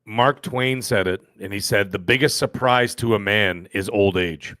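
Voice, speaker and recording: A man's voice: lowish voice, a little slow, fairly bright tone, dry recording, clean studio sound. A man in his fifties co-hosting a podcast, rambling and joking as he tells stories in casual banter. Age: 50s. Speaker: podcast co-host.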